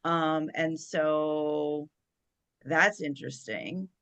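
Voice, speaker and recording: Bright, low-voiced woman chatting emphatically. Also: drawn-out words. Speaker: actress, special guest. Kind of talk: casual chat. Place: online video call.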